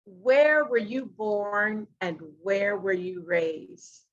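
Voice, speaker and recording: A woman hosting an interview podcast over a video call from her living room, introducing her guest and asking questions slowly and deliberately. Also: mid-range voice, animated, lo-fi sound. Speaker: podcast host. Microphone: video call webcam.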